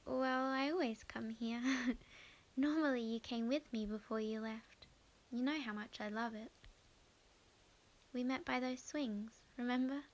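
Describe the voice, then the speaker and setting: Casting: actress as sweet young witch, high voice, unhurried halting delivery, expressive ASMR audio drama. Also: highs cut off, lightly acted. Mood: playful, nostalgic. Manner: halting, expressive, talks to herself. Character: young witch woman, flustered, sweet, chatty, fond of friend.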